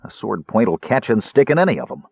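A man plays a big, brawny warrior in a fantasy audiobook. He grumbles slowly and uneasily in a low, husky voice, over thin phone-like audio. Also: big pitch swings, no room sound, exaggerated voice. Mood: uneasy, suspicious. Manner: slow, grumbling, halting.

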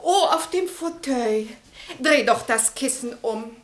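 Scatterbrained bossy society lady, radio play, really lively swoopy voice, fussy and nosy. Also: high-pitched, slow pace. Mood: bossy, flustered. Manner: theatrical, fussy, inquisitive. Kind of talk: German radio play acting.

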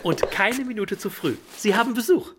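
Woman playing an eager, animated household servant. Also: medium pitch, moderate pace, background noise, natural voice. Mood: cheerful, formal. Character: cheeky, polite household servant, eager and slightly flustered. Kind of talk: German radio play acting.

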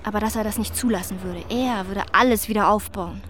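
A woman's voice: mid-range voice, a little fast, a bit bright, a little hiss or hum, close to an everyday voice. An actress playing a shaken professional woman in a German radio drama, telling her story in a reflective, descriptive first person. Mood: measured. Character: shaken professional woman visiting a wrecked neighborhood.

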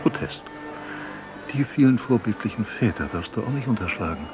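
A man's voice: fairly low pitch, expressive, moderate pace, over music, natural voice. An actor playing a learned, playfully argumentative man who speaks articulately and a little formally in a radio play. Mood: playful, pedantic. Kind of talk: conversational radio play dialogue.

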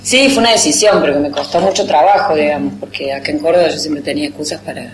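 Argentine woman, lowish voice, chatty, laughs at herself, echoey noisy room. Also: fast. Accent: Argentine Spanish, rioplatense. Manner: chatty, self-mocking, laughs often. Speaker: interviewed poet and writer. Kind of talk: casual interview chat. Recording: roomy echo with background noise.

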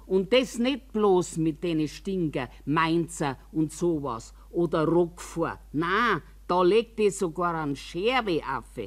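Slow, grumbling old Bavarian woman. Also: fairly low pitch, lively intonation. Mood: indignant, disgusted. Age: older. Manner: rambling, complaining, confiding, with emphatic asides. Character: grumbling, wary old woman living in a care home. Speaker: actor in a radio monologue. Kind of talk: radio drama monologue in colloquial speech.

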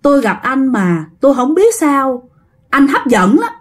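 A woman's voice: high voice, big pitch swings, a little slow, pitched above the performer's own voice, exaggerated voice. A woman voicing the frightened ghost of an old man with a Northern Vietnamese accent, pleading politely and repeating himself. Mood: scared pleading.

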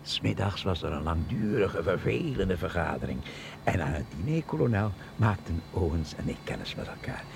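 Gravelly, very animated jovial professor. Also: male voice, deep voice, moderate pace, background noise, full character voice. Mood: jovial, reminiscing. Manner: genial, reminiscing, emphatic. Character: jovial, chatty male foreign professor. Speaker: actor in a radio play. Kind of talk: Dutch radio drama acting.

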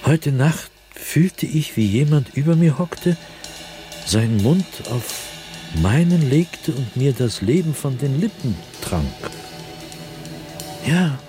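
Tormented man descending into madness, radio drama monologue, very slow delivery, dramatic swings in pitch, brooding and halting, faint music behind. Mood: haunted, agitated. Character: tormented man sliding into madness, recounting his nightmares in a diary.

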